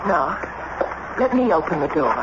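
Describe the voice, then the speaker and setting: An actress playing a poised, sharp-witted statesman's wife in a period radio drama, genteel and theatrical. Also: deep voice, sing-song, normal speed. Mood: assured. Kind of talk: old-time radio drama acting. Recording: muffled, very noisy vintage radio recording.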